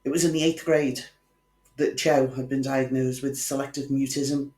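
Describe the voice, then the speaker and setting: A man's voice: mid-range voice, steady tone, moderate pace, a little mellow. Wry man narrating true crime. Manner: wry, conversational asides to the viewer. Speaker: true crime channel host. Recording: echoey room.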